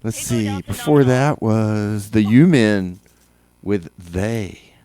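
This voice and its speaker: A man hosting a community radio show, chatting loosely and haltingly between songs from a studio mic. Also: on the low side, mellow. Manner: halting, full of uh and repeated starts. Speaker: radio DJ and host. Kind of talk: rambling casual radio chat.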